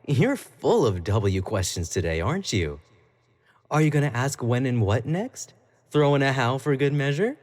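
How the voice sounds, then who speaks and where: A man's voice: medium pitch, sing-song, moderate pace, a little mellow, natural voice. An actor playing an obsessive, clingy young male pop idol in an audio roleplay, talking to the listener in a coy, playful, doting way. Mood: teasing. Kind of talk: scripted roleplay monologue to the listener.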